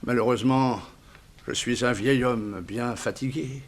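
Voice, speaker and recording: An actor playing a weary, kindly old judge in a 1960s French radio drama, measured and formal. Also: male voice, mid-range voice, close to an everyday voice. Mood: pleading, weary. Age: older.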